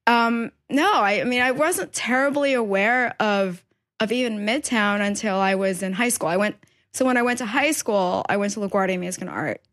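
A woman in her thirties, born in China and raised in New York, chatting as a painter guest on a podcast, animated, laughing often and restarting her sentences. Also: mid-range voice, moderate pace. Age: 30s. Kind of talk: casual interview chat. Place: painting studio indoors.

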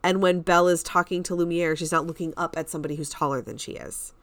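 A woman's voice: lowish voice, fairly even intonation, quick pace, fairly bright tone. A woman co-hosting a podcast, chatting casually and giving emphatic opinions in pointed statements. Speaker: podcast co-host.

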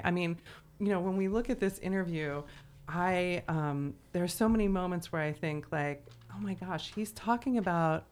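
A woman with a slightly low voice talks as a podcast host in a casual literary discussion. Her delivery is conversational and loose, sprinkled with "like" and "you know". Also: slight background noise.

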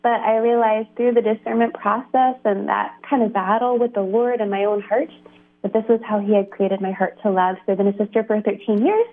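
A woman's voice: mid-range voice, fairly even intonation, a little fast. A woman, a religious sister, telling her story as a guest in a chatty, upbeat way. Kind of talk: radio interview, phoned in. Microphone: phone. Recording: tinny phone line.

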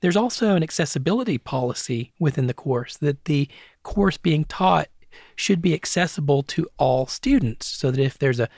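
A middle-aged man answering interview questions as an advertising strategist and academic, measured and often restarting phrases, tagging points with "right?". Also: fairly high pitch, animated, creaky, a little mellow, no room sound, studio quality.

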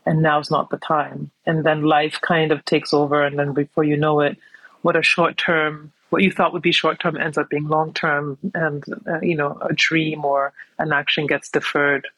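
A woman's voice: sits low, level tone, moderate pace, fairly bright tone, slightly rough, no echo, low-quality recording. Easygoing woman in a dry recording. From New York City. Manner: easygoing, rambling, many "you know" fillers. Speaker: podcast guest. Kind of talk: casual interview chat.

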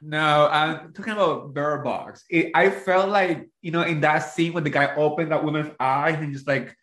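A man from New Jersey co-hosting a casual reality TV recap, talking in a dramatic, halting way and repeating himself. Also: fairly high pitch, a bit bright, echoey room. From Jersey City, United States. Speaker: podcast co-host.

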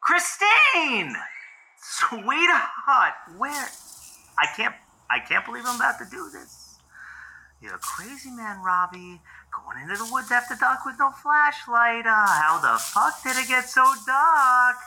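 Bright-voiced, animated young American boyfriend. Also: male voice, very high-pitched, slow, slight background noise, exaggerated voice, low-quality recording. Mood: frantic searching. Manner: rambling, profane, self-questioning. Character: frantic, foul-mouthed young boyfriend searching for his girlfriend. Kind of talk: naturalistic found-footage audio drama acting.

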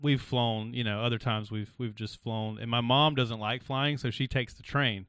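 Man, podcast host, casual rambling talk, mid pitch, dry close mic. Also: fairly even intonation, moderate pace, fairly bright tone, clean tone, dry recording. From South Carolina. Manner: rambling, laughs often.

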